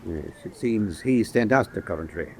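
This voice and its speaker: An actor playing a hard-nosed, cynical officer in a wartime radio drama, blunt and skeptical. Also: male voice, lowish voice, lively intonation, normal speed, darkish tone, a little hiss or hum. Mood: businesslike.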